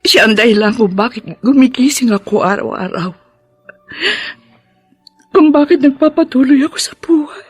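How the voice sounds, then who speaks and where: A high-voiced grieving daughter. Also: female voice, moderate pace, pauses often, husky, dry recording, studio quality, faint music behind. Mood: sorrowful. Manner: tearful, pleading. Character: grieving daughter who cared for her sick mother. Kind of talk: Filipino radio-style melodrama acting.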